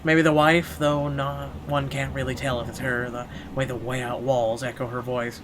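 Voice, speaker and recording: A man's voice: medium pitch, flat delivery, normal speed, a bit bright, a little hiss or hum. A man playing a horror game, reading its text aloud theatrically and adding sarcastic asides. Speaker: let's player.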